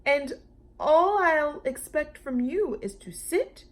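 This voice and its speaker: A woman voicing a polite, self-assured male brontosaurus in a children's storybook, gently insistent in manner. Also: sits high, animated, takes it slowly, voice pushed up. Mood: kindly insistent.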